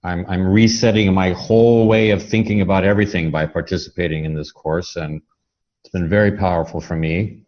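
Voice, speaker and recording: Low-voiced man hosting a podcast. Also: fairly even intonation, fairly quick, lo-fi sound. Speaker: podcast host. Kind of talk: podcast introduction and conversational interview.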